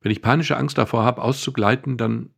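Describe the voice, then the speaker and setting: A man's voice: medium pitch, steady tone, fairly quick, creaky, fairly bright tone. A man co-hosting a podcast, explaining things thoughtfully at length with some dry humor. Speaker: podcast co-host. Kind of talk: casual explanatory chat.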